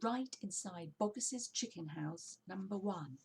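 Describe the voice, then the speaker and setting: Storybook father fox, read by a woman: confident, cunning, gentlemanly, slightly rough and crackly in tone. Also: medium pitch, lively intonation, a little slow, heavily acted. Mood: secretive, triumphant. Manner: assured, gallant. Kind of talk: children's storybook character voice.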